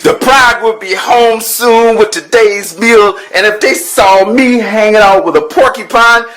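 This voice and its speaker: A man narrating as a proud, grumpy male lion king in a storybook, slow, grand and huffy, growling now and then. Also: high voice, a bit bright. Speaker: first-person narrator.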